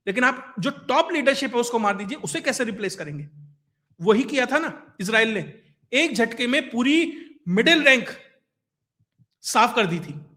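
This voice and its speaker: A man's voice: extremely high pitch, bright, forceful tone. A man giving conversational, explanatory news commentary, emphatic, with rhetorical questions and lists. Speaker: commentator and host of an online current affairs show.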